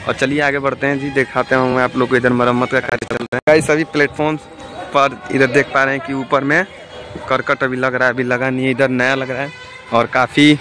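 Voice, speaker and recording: A man's voice: mid-range voice, little pitch movement, brisk. A young man filming a casual travel vlog on a handheld phone, talking to his viewers with many hesitation fillers while walking a railway platform and riding a train. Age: young adult. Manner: chatty, addresses viewers directly, frequent hesitation fillers. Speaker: travel vlogger. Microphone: phone held at arm's length for selfie. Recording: noisy outdoor station and train sounds.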